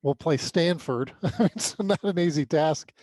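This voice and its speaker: A man's voice: a little high, a little slow, darkish tone, dry recording. Man recapping sports, close dry sound. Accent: American. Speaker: sports podcast co-host. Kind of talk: casual sports recap commentary.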